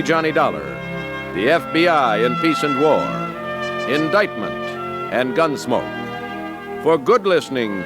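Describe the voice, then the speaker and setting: Lively higher-pitched male network announcer. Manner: polished, promotional. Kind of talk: old-time radio promo announcing. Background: loud brass music.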